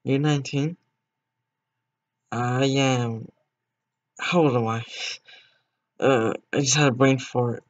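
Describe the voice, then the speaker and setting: A man's voice: medium pitch, steady tone, slow, long pauses. Teen guy gaming stream, chatty and rambly, voice cracks sometimes, muffled cheap mic. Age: teens. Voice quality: voice cracks now and then. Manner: rambling, chatty, talks to viewers. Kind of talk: casual gameplay stream chat.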